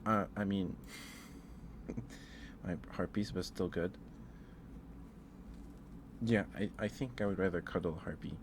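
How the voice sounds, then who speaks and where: A man doing a casual, rambling ASMR livestream, speaking in a hesitant, musing way and repeating himself over clear background noise. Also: low-pitched, slow, pauses often. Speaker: live streamer.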